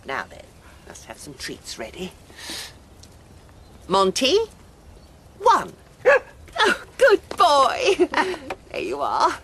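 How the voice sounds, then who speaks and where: Köpeğine dil döken, tiz sesli telaşlı kadın. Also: female voice, sits high, wildly swooping pitch, extremely slow, pauses often, a bit bright, slightly rough, heavily acted. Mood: eager coaxing. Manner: coaxing, exclamatory, sing-song. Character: fussy, eager middle-aged woman coaxing her dog to count. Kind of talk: radio soap acting.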